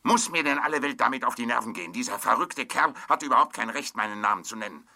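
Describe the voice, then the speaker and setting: An actor playing a grumpy, impatient older gentleman, blustering and indignant in a German audio drama. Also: medium pitch, fast talker, vocal fry, full character voice. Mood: irritated, indignant.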